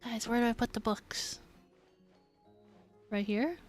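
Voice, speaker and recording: A woman's voice: fairly high pitch, slow pace, soft background music. A woman streaming a game, giving casual, playful live commentary in a chatty way.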